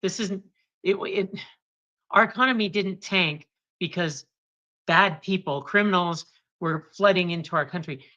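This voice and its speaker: A woman's voice: on the low side, measured pace, sharp tone. A woman co-hosting a casual talk show, speaking in an impassioned, indignant way. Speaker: co-host. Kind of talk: casual chat. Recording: slightly dull lo-fi recording.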